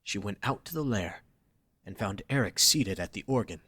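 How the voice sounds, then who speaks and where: A man reads dramatic scripted narration in a somewhat low voice. It has a raspy grain and a bright, forceful edge. The sound is dry and close. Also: lively intonation, normal speed, no room sound.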